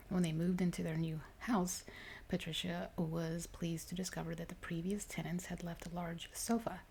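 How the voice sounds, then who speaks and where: Woman doing asmr story reading, lowish gravelly voice, soft n unhurried, bit of noise behind. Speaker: ASMR reader.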